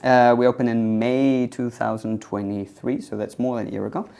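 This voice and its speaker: Relaxed man telling his story, many ums. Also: medium pitch, moderate pace, gravelly, clean tone. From Spain. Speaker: CEO of a tech hub. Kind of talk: conversational interview answers. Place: indoors in an office building.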